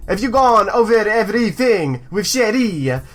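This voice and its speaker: Girl putting on over the top italian guy, excitable game archaeologist, sing-song, pitch all over, music behind. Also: moderate pace. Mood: cheerful, welcoming. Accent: mock Italian. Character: excitable French-Belgian archaeologist man with an Italian-sounding accent.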